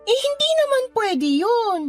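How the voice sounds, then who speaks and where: A woman's voice: very high voice, expressive, unhurried, faint music behind. A woman playing a blunt, protective cousin in a Tagalog radio drama, indignant and sassy on her friend's behalf. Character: indignant, protective female cousin egging on a confidante.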